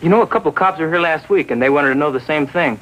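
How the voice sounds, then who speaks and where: A man's voice: on the high side, quick pace, fairly bright tone, lightly acted, rough recording. An actor playing a surly, evasive teenage boy in 1950s TV drama style, sullen and dismissive. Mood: evasive denial.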